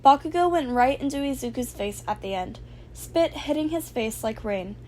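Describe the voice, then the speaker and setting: High-voiced woman narrating fan fiction. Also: expressive, moderate pace, darkish tone. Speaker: amateur audiobook narrator. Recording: faint background noise.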